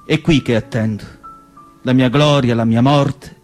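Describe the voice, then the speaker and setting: A man playing a reflective, melancholy asylum doctor in a radio theatre monologue, speaking in a measured, literary way with deliberate pauses. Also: medium pitch, fairly even intonation, faint music behind, natural voice. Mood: grave, heartfelt. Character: reflective asylum doctor narrating his patients, melancholy and devoted. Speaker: actor reading as narrator.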